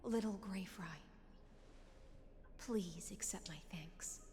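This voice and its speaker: An actress playing a graceful, sorrowful ancient demigod in a video game, speaking solemnly and poetically in a soft, breathy voice. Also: female voice, medium pitch, slow pace, natural voice. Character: melancholy, ancient, graceful female demigod singer. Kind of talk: dramatic video game voice acting. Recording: long reverb under clear music.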